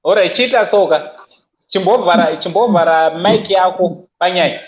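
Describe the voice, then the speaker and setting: A high-voiced man in his thirties hosts a birthday livestream from his couch, playful and quick to tease. His earphone mic picks up a roomy echo and dull, lo-fi call audio. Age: 30s. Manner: playful, teasing, laughs often. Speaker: livestream host. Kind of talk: casual birthday livestream chat. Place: indoors at home, seated on a couch. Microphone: earphone headset mic on a video call.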